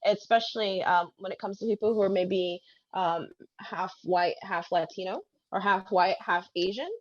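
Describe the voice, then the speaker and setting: A woman co-hosting a casual discussion, speaking in a measured way with frequent filler sounds. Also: medium pitch, steady tone. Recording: slightly dull lo-fi audio.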